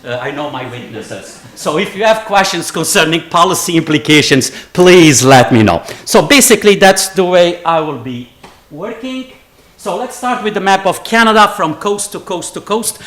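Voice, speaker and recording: A man's voice: high-pitched, expressive, normal speed, a bit bright. A middle-aged man from the Azores gives a conference talk in a lecture room. He is jet-lagged and tired, yet chatty and digressive, and he throws joking asides to the audience. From Azores, Portugal. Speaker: conference speaker, geographer.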